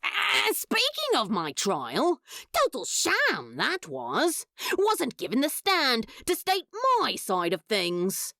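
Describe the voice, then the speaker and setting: A woman's voice: high voice, huge pitch swings, slow pace, vocal fry, pushed and bright, dry recording, clean studio sound, pitched above the performer's own voice, exaggerated voice. A woman voicing a cocky, dramatic demon in a fan fiction audiobook, drawling and grumbling with theatrical grievance. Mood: aggrieved, sullen. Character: cocky dramatic demon, sullen yet showy, theatrically aggrieved.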